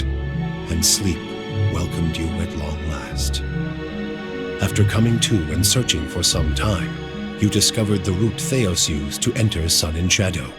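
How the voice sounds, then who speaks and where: A deep, solemn fantasy narrator. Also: male voice. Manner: measured, literary, dramatic. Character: solemn, grave male fantasy storyteller. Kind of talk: scripted narration of a game ending. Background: loud music.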